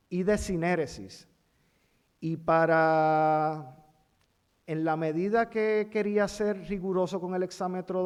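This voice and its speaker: A man with a Puerto Rican accent giving a university lecture in an amphitheater, pausing often with fillers as he spells things out. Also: on the high side, a little mellow. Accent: Puerto Rican Spanish. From Puerto Rico. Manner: halting, many fillers, spells things out slowly.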